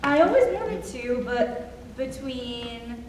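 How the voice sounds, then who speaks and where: Woman acting an anxious, high-voiced new prisoner. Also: lively intonation, slow pace, darkish tone. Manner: anxious, fretful. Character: frightened, restless mother, new to prison. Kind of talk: live staged reading of a musical. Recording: echoey room, distant mic, faint noise.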